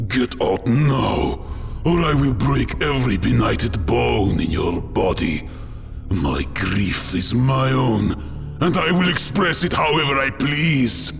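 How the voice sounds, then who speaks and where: An actor playing a grief-stricken, wrathful space marine with a harsh, rattling rasp, speaking slowly and bitterly in a dramatic audio story. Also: male voice, mid-range voice, expressive. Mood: furious. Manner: slow, bitter, archaic formal speech. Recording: long cavernous reverb, music underneath.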